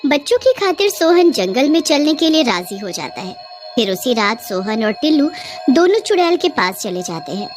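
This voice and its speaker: A woman narrates a scripted story in a fairly high voice. She works as the storyteller, with light music playing behind her. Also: big pitch swings.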